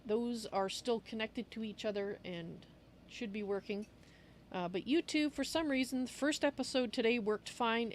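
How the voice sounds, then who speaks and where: Woman livestreamer chatting over background noise. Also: mid-range voice. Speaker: livestream host. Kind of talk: casual livestream chat.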